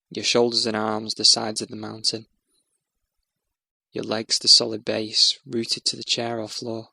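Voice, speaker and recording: A man guides a meditation in a measured, soothing manner. His pitch stays very level, and there is a noticeable creak in the voice. The sound is close and very dry, as he gives gentle step-by-step instruction. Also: dry recording.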